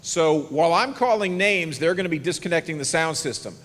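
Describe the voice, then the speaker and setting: A man from moderating a candidate debate, with a loud, confident, announcer-like delivery. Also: a little high, lively intonation, a little fast. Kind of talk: moderating a public event. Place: event hall, PA sound system. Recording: long hall reverb.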